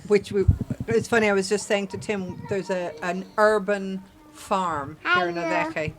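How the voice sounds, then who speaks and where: Lively, chatty woman co-host. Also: medium pitch, measured pace, background noise. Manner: chatty and warmly teasing, asks questions. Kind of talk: casual chat.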